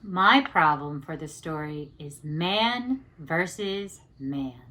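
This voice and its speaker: A woman teacher presenting a step-by-step lesson for children, with deliberate, encouraging delivery. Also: low-pitched, lively intonation, sharp tone. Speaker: teacher presenting an educational show.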